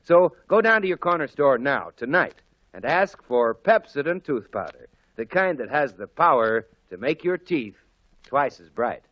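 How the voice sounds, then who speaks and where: A man's voice: medium pitch, sing-song, unhurried. An American man announcing on 1940s radio in an emphatic, folksy, salesmanlike scripted style. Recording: muffled old 1940s radio broadcast.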